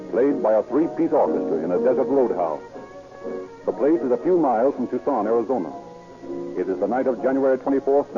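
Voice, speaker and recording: A man narrating a vintage radio crime drama in a solemn, florid, scripted style. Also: fairly low pitch. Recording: muffled old radio recording.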